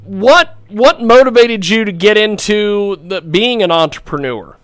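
A man's voice: high voice, animated, measured pace, a bit bright, clean tone, no room sound. A man hosting a radio interview show, often hesitant, stumbling and repeating words as he asks questions. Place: radio studio.

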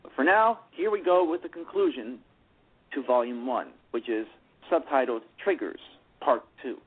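A man narrating a radio drama in a slow, deliberate way. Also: medium pitch, lively intonation, husky. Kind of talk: scripted narration. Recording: tinny phone-line radio audio.

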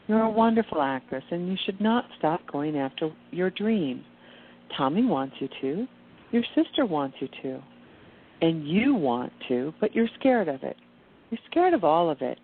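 A woman playing a gentle, knowing, reassuring angel in an amateur radio play. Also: on the low side. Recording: dull muffled audio with clear background noise.

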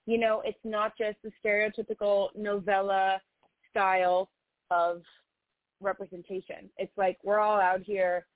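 A woman's voice: medium pitch, flat delivery, measured pace, dry recording. A female singer-songwriter chatting in an interview, earnest and heartfelt, with lots of "you know" fillers. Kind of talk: casual interview chat. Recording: tinny phone-like line, dry.

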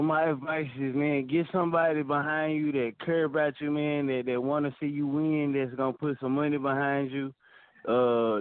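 A man's voice: medium pitch, clear, resonant tone, no room sound. A young man from Dallas, a rapper being interviewed, talking loosely with lots of "like" and "you know". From Dallas, Texas. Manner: laid-back, loose, full of "like" and "you know". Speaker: rapper guest. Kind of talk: casual interview talk. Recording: tinny phone-line sound.

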